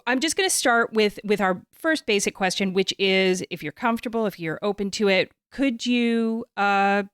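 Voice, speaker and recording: Female podcast host, chatty and effusive, clean smooth voice, dry close sound. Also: mid-range voice, dry recording. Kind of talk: casual podcast hosting.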